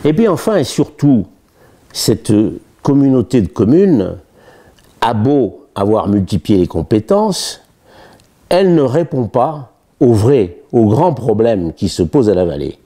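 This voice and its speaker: Dark, muffled-toned Frenchman explaining local politics. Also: medium pitch, very animated, unhurried. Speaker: local election candidate. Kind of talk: political explainer talk to camera.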